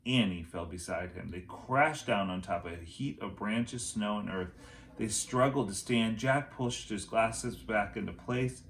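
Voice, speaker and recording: A man reading a children's chapter book aloud as a teacher would, with expressive, dramatic phrasing. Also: fairly low pitch, unhurried, creaky, slight background noise. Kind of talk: storybook read-aloud narration.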